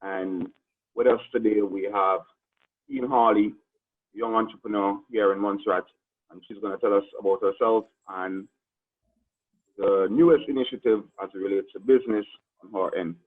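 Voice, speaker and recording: A man hosting a radio interview show, asking questions in a relaxed, chatty way. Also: on the low side, moderate pace, long pauses, phone-quality sound.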